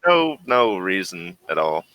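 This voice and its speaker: A man's voice: low-pitched, very animated, measured pace, bright, forceful tone, dry recording. A man acting as game master, talking casually through an online tabletop role-playing session.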